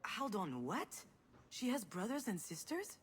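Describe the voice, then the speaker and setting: A woman's voice: medium pitch, very animated, a little slow, slight background noise. A woman playing a protective, reflective older sister in a naturalistic video game drama, earnest and wry. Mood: puzzled, startled. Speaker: game protagonist. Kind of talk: naturalistic drama voice acting, dialogue and inner monologue.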